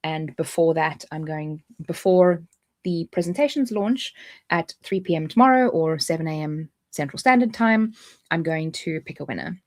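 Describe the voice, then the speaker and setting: A woman's voice: low voice, animated, moderate pace. A woman with a South African accent hosting a casual livestream, chatty and jumping between points with frequent restarts. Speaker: livestream host.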